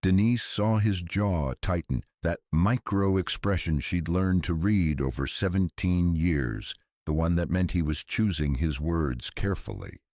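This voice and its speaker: A male audiobook narrator with an extremely deep voice reads scripted thriller prose. His pacing is slow and measured. The sound is close and dry, and the tone serious. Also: a little mellow, dry recording.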